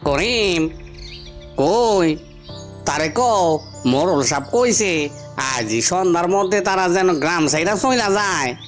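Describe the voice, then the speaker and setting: A man's voice: sits high, animated, takes it slowly, long pauses, soft background music. A man voicing a threatening, sneering henchman who bullies villagers for their headman in a cartoon. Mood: menacing. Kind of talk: cartoon voice acting.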